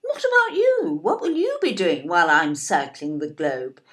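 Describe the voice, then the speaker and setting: An actress playing a fussing, incredulous older British wife in an audio play, fretful and full of exclamation. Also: female voice, lowish voice, wildly swooping pitch, moderate pace, fairly bright tone. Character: fussing, indignant older wife, doubting her husband.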